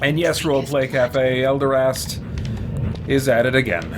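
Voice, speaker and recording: A man's voice: medium pitch, fairly even intonation, moderate pace, music in the background. A middle-aged man giving casual livestream commentary as he plays a game. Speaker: game streamer.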